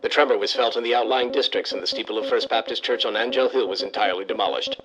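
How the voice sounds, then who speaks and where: Man as a rapid-fire old-time radio newsreader. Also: lowish voice, fairly even intonation, a crowd in the background, lightly acted, rough recording. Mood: urgent, formal.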